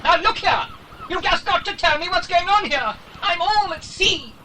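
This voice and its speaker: Shrill, flustered, pompous fusspot. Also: male voice, expressive, moderate pace, background noise. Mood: indignant. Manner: blustering, stammering, overacted. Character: pompous, flustered, indignant silly man in children's adventure. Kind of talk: dramatised children's audiobook acting.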